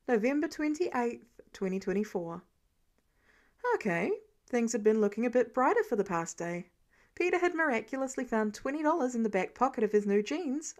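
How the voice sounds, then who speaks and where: Higher-pitched woman narrating a story. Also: darkish tone. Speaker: podfic narrator reading fan fiction. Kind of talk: expressive scripted story narration.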